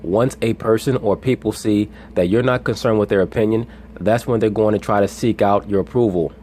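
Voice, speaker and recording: A man from the United States giving a monologue commentary on video clips, deliberate and lecturing, with a preacher-like cadence. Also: fairly low pitch, fairly even intonation, dark tone, a little hiss or hum.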